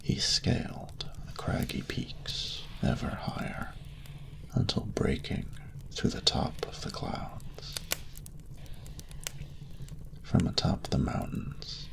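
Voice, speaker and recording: A man voicing a proud, flirtatious male dragon in an intimate romantic audio roleplay, with a gravelly, half-whispered rumble and formal, grandiose, unhurried phrasing. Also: full character voice, low-quality recording.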